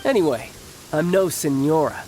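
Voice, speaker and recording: An actor playing a cocky, cheerful young warrior officer in an anime-style video game, speaking with playful, theatrical confidence. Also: male voice, a little high, animated, moderate pace, warm tone, slight background noise, natural voice, low-quality recording. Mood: playful, teasing.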